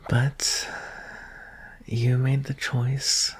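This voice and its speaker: A man playing a gentle, vulnerable young alien prince in an ASMR roleplay, speaking softly and hesitantly, often in a whisper. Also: medium pitch, level tone, exaggerated voice. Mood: earnest, affectionate. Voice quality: soft, breathy, often whispering. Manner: hesitant, earnest, slow with long pauses. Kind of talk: ASMR roleplay, soft intimate acting.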